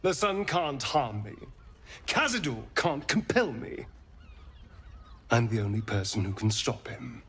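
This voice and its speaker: An actor playing a proud, vengeful vampire rogue in a video game, with an arch, sneering, dramatic delivery. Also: male voice, medium pitch, big pitch swings, measured pace, long pauses, a bit bright, slight background noise. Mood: defiant.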